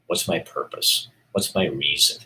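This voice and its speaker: A middle-aged man with a very low voice talks loosely to his viewers, rambling and thinking out loud. His tone is bright and forceful despite the depth, and he keeps it candid and off the cuff. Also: a little slow, rough recording. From Oregon. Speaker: show host. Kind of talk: casual live-stream talk to viewers.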